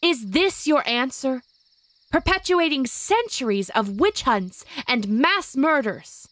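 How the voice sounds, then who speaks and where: A woman's voice: high voice, expressive, slow pace, a bit bright, no room sound, heavily acted. A woman playing a defiant, righteous witch, speaking firmly and dramatically in an audio drama. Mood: indignant. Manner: firm, dramatic, declamatory.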